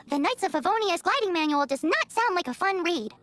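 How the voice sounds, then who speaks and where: Squeaky, very high little fairy girl. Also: lively intonation, moderate pace, fairly bright tone. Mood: grumbling. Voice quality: squeaky, childlike. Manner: refers to self in third person, exclamatory. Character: small childlike female fairy companion, chirpy, blunt and cheeky. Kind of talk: video game voice acting.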